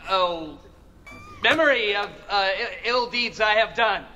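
A man's voice: high voice, measured pace, pushed and bright, dull audio, slight background noise, full character voice. An actor playing a wisecracking, nervous teenage boy in a TV drama. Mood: stiff recital. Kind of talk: TV drama dialogue. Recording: TV soundtrack with faint music.

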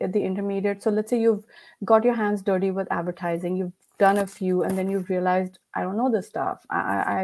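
A woman in her forties with an Indian accent, a podcast guest talking over a headset on a video call in a home room, chatty and quick to laugh, with many fillers. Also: mid-range voice, a little mellow, rough recording. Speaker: podcast guest, company founder. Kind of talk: casual interview chat. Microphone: headset with mic.

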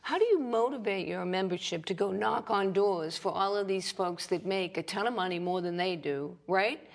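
A woman's voice: mid-range voice, normal speed, gravelly. A woman in her sixties from Boston, hosting a TV interview and asking emphatic, personal questions. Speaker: TV talk show host. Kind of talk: studio interview. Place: small TV studio.

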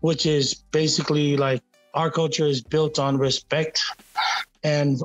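Male guest, higher voice, fast laid-back chat, dry close sound. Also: flat delivery, dry recording, muffled recording, soft background music. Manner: quick, laid-back, uses lots of "like". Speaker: panel guest. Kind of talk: casual panel discussion. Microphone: video call.

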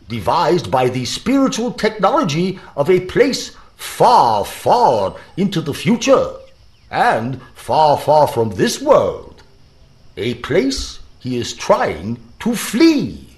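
A man acts a pompous professor in an audio drama. He speaks slowly and formally in a highish voice, exasperated and swooping dramatically. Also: a little mellow, heavily acted. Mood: grave, revelatory.

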